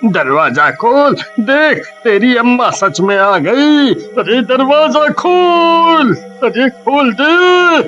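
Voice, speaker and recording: A man's voice: very high voice, animated, extremely slow, sharp tone, highs cut off, heavily acted. A man voicing a cowardly, blustering drunkard village husband in a children's cartoon, pleading and scolding in stretched exclamations. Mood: panicked pleading. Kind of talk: Hindi children's cartoon voice acting.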